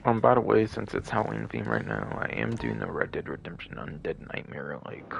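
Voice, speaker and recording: Deep, gravelly male game streamer, swearing casually. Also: very animated, brisk. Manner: rambling, muttering asides, profane. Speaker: video game live streamer. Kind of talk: casual rambling livestream commentary. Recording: faint background noise.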